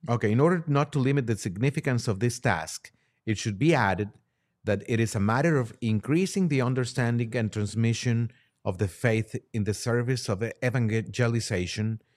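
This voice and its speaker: A man speaking as a priest and podcast guest, giving religious commentary in a halting, conversational way with repeated words. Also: fairly low pitch, a little mellow.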